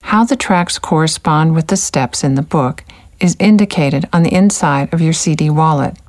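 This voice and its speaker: Low-voiced woman narrating a language course. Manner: calm, encouraging, measured. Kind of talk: scripted instructional narration.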